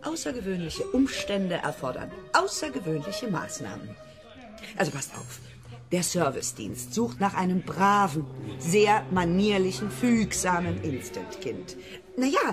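A woman's voice: mid-range voice, very animated, normal speed, music in the background. A woman acting a feisty, chaotic mother in a children's radio play, bossy and slangy. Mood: scheming. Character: feisty, chaotic, warm-hearted mother, middle-aged woman.

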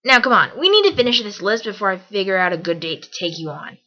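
Bright, brash tomboy pegasus played by a woman. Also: medium pitch, very animated, quick pace, dull audio. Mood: playful, sincere. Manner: casual, bold. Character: brash, cocky, loyal tomboy female pegasus pony. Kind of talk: amateur audio drama acting.

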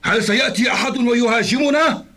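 Casting: blustering, panicky cartoon governor, very high sharp voice, bossy and pompous. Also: male voice, brisk. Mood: alarmed suspicion. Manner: blustering, commanding. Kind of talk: dubbed cartoon acting.

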